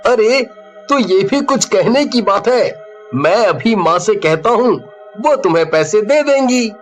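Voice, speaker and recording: A man's voice: sits high, animated, soft background music. A man playing a naive, devoted young orphan in a story cartoon, stretching his words out with feeling. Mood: eager, trusting. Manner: very slow, drawn-out, emotive delivery. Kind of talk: dramatised Hindi story cartoon acting.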